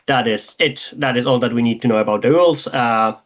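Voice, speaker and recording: A man, originally from Poland, introducing himself and his tabletop game as game master, with frequent restarts and fillers. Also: medium pitch. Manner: halting, many restarts and fillers.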